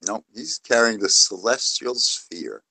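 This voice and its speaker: A low-pitched man talks in a halting, rambling way, full of fillers and restarts. The sound is dry and close, with no room to it. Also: big pitch swings, creaky, bright, forceful tone, no echo, poor audio. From New York City, USA. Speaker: guest. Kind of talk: casual live-stream discussion.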